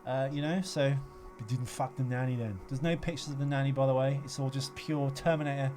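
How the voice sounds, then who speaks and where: A man's voice: medium pitch, steady tone, fairly quick, a little mellow. A man hosting a podcast in a casual, rambling, jokey way. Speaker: podcast host. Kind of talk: casual banter and rambling sign-off on a film podcast. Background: music playing behind the voice.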